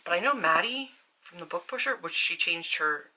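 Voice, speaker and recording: A woman talking in a casual, upbeat, chatty vlog style, informal and full of quick asides. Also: lowish voice, expressive, sharp tone, slight background noise, poor audio. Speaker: book vlogger and author. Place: indoors at a desk at home.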